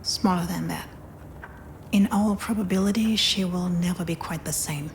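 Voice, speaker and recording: An actress playing a frank hospital doctor in a video game, measured and clinical in delivery. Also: female voice, fairly low pitch, slight background noise, natural voice. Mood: grave, frank. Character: composed, frank female hospital doctor breaking bad news. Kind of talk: naturalistic video game acting.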